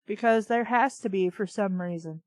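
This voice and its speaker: A woman's voice: medium pitch, moderate pace, darkish tone, no room sound. A woman reading a story aloud and breaking in with blunt, exasperated, sarcastic commentary full of swearing. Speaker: host of a bad-fiction reading show.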